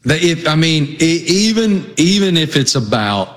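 A man's voice: a little high, very animated, unhurried, a little hiss or hum. A man with a Southwest Virginia accent co-hosting a sports radio show, chatting casually with folksy, emphatic phrasing. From Southwest Virginia, United States. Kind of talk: casual chat.

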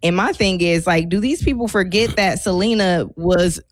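Female American talk co-host, lower-pitched and lively, opinionated, slangy, in a dry close recording. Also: normal speed, no room sound. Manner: animated, opinionated, informal slangy phrasing. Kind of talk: casual banter and discussion.

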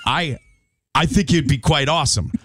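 A man's voice: on the high side, normal speed, fairly bright tone. A man hosting a radio morning show, chatting and joking casually with his co-host and laughing often. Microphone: studio microphone.

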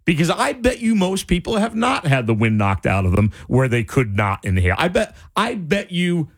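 A male radio host in casual on-air chat, animated and incredulous, his voice starting to go. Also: on the high side, creaky, no room sound. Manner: animated, incredulous, quick asides. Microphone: studio microphone.

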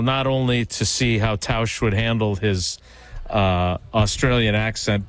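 A man's voice: mid-range voice, fairly bright tone, no room sound. A man hosting a radio show, steering segments and reading an ad read, with some stumbling restarts. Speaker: radio host.

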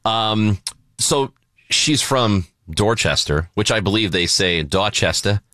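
Animated bright-voiced male radio co-host. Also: fairly low pitch, slow pace, no echo, studio quality. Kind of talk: casual banter on a talk radio show. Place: radio studio. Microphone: close studio broadcast microphone.

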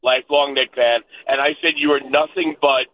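A man's voice: medium pitch, fairly even intonation. A man from Connecticut calling in to a radio show by phone, eagerly running through his points. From Connecticut, USA. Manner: eager, rapid-fire talker listing points. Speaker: radio caller. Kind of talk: call-in chat. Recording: tinny phone line with faint noise.

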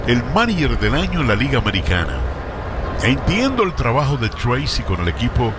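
A man's voice: mid-range voice, fairly quick, noisy background. A man calling a baseball game on radio, chatting with his partner between plays and trailing off with fillers. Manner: relaxed banter between calls, trailing fillers. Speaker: play-by-play announcer. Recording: radio broadcast with music under.

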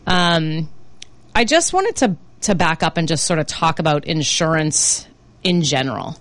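A middle-aged woman hosting a talk radio show, slightly hoarse and chatty, with many fillers and restarts. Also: sits low, animated, unhurried, fairly bright tone, dry recording. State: slightly hoarse, under the weather. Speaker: radio show host, financial planner. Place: radio studio. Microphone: studio microphone.

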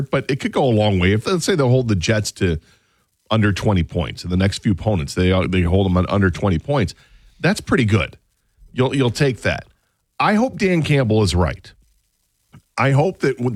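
A man's voice: on the low side, no echo, studio quality. A man co-hosting a sports talk show, chatting casually about football and sometimes acting out a coach. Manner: conversational, acts out coaches with a raised voice. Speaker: sports radio co-host.

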